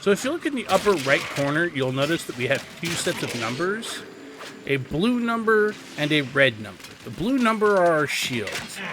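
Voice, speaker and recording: A man's voice: a little high, sing-song, moderate pace. A man giving casual, instructional gameplay commentary as a guide host, in an explanatory, slightly wry way. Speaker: video game guide host. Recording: clear background game noise. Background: video game ambience.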